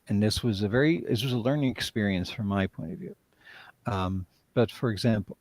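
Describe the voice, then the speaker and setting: A man's voice: on the low side, a little mellow, no room sound. A man, a writer and director, speaking reflectively in a live-stream interview and often trailing off mid-thought.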